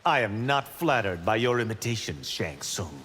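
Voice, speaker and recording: An actor playing a cocky, boastful young martial-arts monk in video game fight banter. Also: male voice, fairly low pitch, big pitch swings, normal speed, fairly bright tone, big-room sound, slight background noise. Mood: defiant. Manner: brash, proud, playful.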